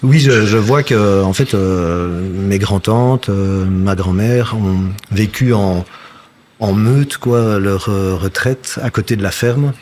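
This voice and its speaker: A man's voice: fairly low pitch, takes it slowly, darkish tone, slight background noise. A Belgian man in his fifties with a slight Flemish-tinged French accent, speaking as an interview guest. He talks reflectively, hesitating often and restarting sentences. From Belgium, near Brussels. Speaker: guest, actor and puppeteer. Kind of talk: casual interview talk.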